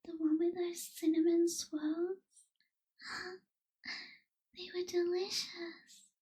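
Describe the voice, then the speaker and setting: A woman playing a melancholy young vampire in an ASMR roleplay, speaking in a soft, breathy half-whisper with wistful, formal phrasing. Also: very high voice, level tone, low-quality recording. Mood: wistful, nostalgic. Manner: slow, wistful, hushed, formal wording. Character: melancholy young female vampire, gentle reluctant captor.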